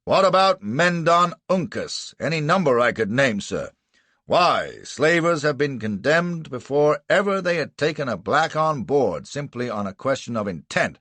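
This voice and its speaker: A man voicing a bullish male prosecuting lawyer in an audiobook, bawling and hectoring with emphatic, deliberate questions. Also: lowish voice, very animated, fairly bright tone, no echo, exaggerated voice. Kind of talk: audiobook character acting.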